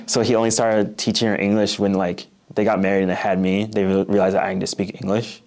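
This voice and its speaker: A young man from the Midwest in his late teens, speaking in a fairly low voice. He chats loosely and drops in plenty of filler words. The accent is relaxed and Midwestern American. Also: quick pace, gravelly. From Oak Park, Illinois, USA. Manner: casual, uses many "like" fillers. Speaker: interviewee. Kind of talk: read-aloud passage and casual interview.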